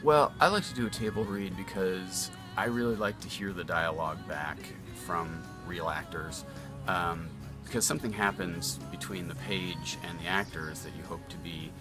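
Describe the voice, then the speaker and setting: A man's voice: deep voice, moderate pace. A man speaking as a screenwriter, plain-spoken and thoughtful, in casual talk. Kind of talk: casual interview and instructions. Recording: music behind the voice. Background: music.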